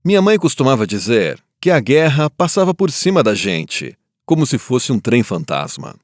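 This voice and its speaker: A man acting as a grieving war survivor tells his story in dramatic first-person narration. His mid-range voice moves expressively, with solemn, reflective pauses, in a dry, close recording. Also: medium pitch, sing-song, no echo, close to an everyday voice. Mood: wistful, reflective. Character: grieving Japanese survivor of the Nagasaki bomb, looking back.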